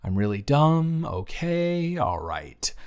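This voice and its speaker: Man acting a playful, animated young best friend. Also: fairly high pitch, takes it slowly, smooth. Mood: flustered. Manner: casual, playful, slangy. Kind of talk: romantic roleplay audio drama.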